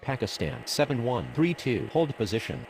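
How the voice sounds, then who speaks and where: Businesslike airport radio operator for a game, played by a man: creaky, varied pitch, formal procedural delivery over faint noise. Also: lively intonation, normal speed. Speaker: air traffic radio voice. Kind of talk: scripted aviation radio phraseology.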